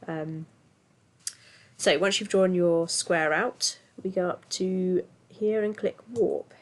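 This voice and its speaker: A woman with a stuffy, bunged-up voice from a cold, talking casually through a software tutorial with lots of ums. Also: on the low side, slow pace. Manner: chatty, self-deprecating, filler-heavy. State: congested from a cold. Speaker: tutorial host.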